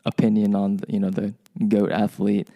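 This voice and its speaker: A man's voice: fairly low pitch, completely flat delivery, normal speed, creaky, warm tone. A man co-hosting a podcast interview, asking questions in a casual, rambling way with many restarts. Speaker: podcast co-host.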